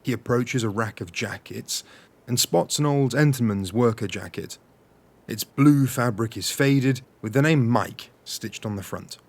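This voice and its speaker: Earnest director type: mid-pitched, self-serious, reading script directions in an audio comedy. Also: male voice, measured pace. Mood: narrating. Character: earnest male director leading a script table read. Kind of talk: scripted narration of stage directions in audio drama.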